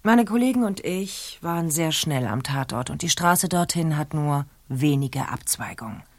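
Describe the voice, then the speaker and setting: A dogged female police detective in a radio drama, needling her suspect with dry sarcasm. Her voice is lowish and swings widely in pitch as she presses and mocks. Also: expressive, moderate pace. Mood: probing. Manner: probing, sarcastic, persistent. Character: sharp female police detective, relentless questioner. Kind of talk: radio play acting.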